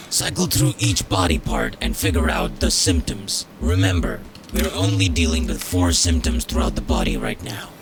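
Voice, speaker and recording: A man's voice: low voice, vocal fry, noisy background, full character voice. An actor playing a stern, jaded military sergeant in a game, cold, contemptuous and authoritative as he drills a recruit. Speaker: game character voice. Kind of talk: scripted game dialogue.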